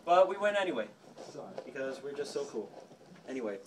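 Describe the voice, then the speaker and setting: A young man telling history informally to a small group in a cocky, flippant, halting way. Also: mid-range voice, big pitch swings, noisy background. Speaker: storyteller host playing a cadet gunnery sergeant. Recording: distant mic, clear background chatter. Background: several people chattering and interjecting.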